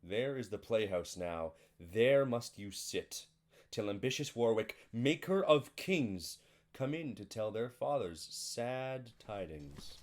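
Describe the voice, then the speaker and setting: An actor playing a solemn male chorus and bearer of grievous news, declaiming Shakespearean verse in a measured manner. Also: on the low side, expressive. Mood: solemn, portentous.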